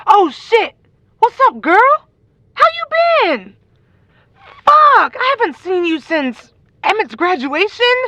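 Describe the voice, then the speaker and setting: An actress as a sassy young woman in an audio drama, high and sharp, swinging all over in pitch. She is brash and casual, close on a dry mic. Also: big pitch swings, slow pace, pauses often, no room sound, heavily acted. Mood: excited. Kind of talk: audio drama phone conversation.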